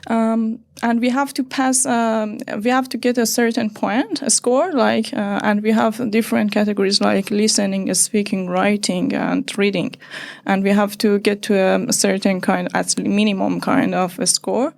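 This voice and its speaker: A woman in her thirties from Iran, a native Persian speaker, talking in a hesitant, halting way with many fillers as an interview guest. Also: mid-range voice, steady tone, creaky, darkish tone, no room sound, clean studio sound. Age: 30s. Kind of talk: casual interview conversation.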